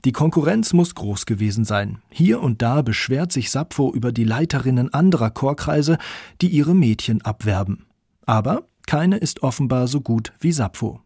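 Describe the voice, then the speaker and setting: A man's voice: medium pitch, lively intonation, moderate pace, darkish tone, slightly rough, no room sound, clean studio sound. A man reading scripted narration for a radio documentary.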